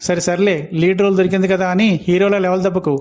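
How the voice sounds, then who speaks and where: A man's voice: high voice, flat delivery, rapid-fire, darkish tone, lightly acted. A man voicing a sarcastic, grumbling sidekick creature in a comic Telugu cartoon.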